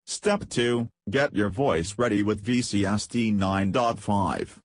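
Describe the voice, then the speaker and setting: A man reading a scripted, step-by-step software tutorial as its narrator. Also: fairly low pitch, slow pace.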